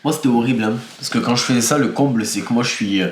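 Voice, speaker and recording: A man's voice: medium pitch, fast talker, a little hiss or hum. A young man with a cold, a start-up founder talking candidly and with energy in a casual podcast interview. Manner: energetic, candid, many restarts. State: has a cold. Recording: roomy echo.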